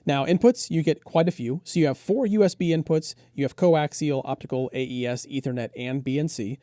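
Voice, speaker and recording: Mellow-voiced man reviewing audio gear. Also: a little high, brisk, no echo, clean studio sound. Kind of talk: conversational product rundown, reading out specs.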